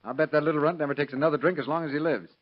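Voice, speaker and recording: Fast-talking hard-boiled radio detective played by a man. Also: fairly high pitch, close to an everyday voice. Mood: wry, dismissive. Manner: clipped, hard-boiled, slangy. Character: brisk, sardonic, skeptical male police detective. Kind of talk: 1930s radio drama acting. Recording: dull vintage radio recording.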